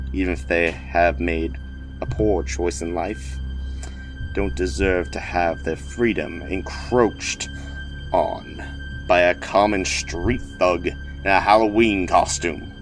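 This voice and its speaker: Man playing a deep-voiced, theatrical, sinister mayor. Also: exaggerated voice, low-quality recording. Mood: cold, preachy. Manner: theatrical, grandiose, speechifying. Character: weird male city mayor, smugly cheerful, coldly menacing. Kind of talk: audio drama acting.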